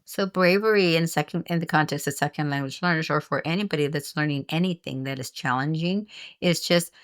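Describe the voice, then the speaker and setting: An older woman with a slightly low voice talks about her own life in an interview. She is earnest and reflective, often breaking off and restarting her phrases as she searches for the right words. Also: brisk. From United States. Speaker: guest, author and retired teacher. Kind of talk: candid interview conversation.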